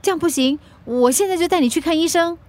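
An actress playing a caring, level-headed friend in a radio drama. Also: female voice, extremely high pitch, fast talker, a little mellow. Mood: concerned, reassuring. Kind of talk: Mandarin radio drama acting.

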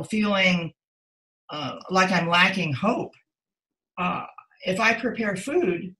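A woman's voice: fairly low pitch, sharp tone, low-quality recording. An older woman giving reflective, earnest answers as a guest in a conversational interview, repeating words for emphasis.

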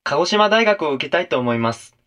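An actor playing an earnest, modest male high school student answering politely and rather formally in a radio drama interview. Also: expressive, brisk, fairly bright tone.

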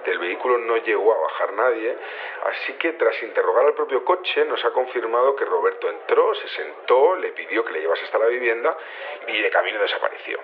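An actor playing a nonchalant security chief on the telephone in a radio drama, talking colloquially and a little hesitantly. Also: male voice, on the low side, lively intonation, a little fast, slight background noise. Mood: casual, matter-of-fact. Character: casual, unbothered male security chief phoning a report. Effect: telephone filter. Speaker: head of security. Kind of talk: phone interview in a radio drama. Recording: thin, narrow phone line.

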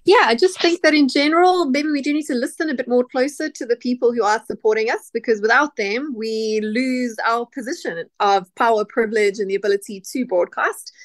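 A woman's voice: fairly high pitch, a little fast, few pauses, no room sound. A woman giving considered commentary as an interview guest. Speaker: guest trends analyst.

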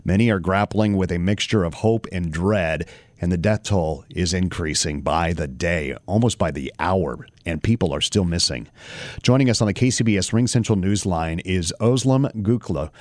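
A man anchoring radio news, reading in a measured, formal way. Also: sits low, no room sound.

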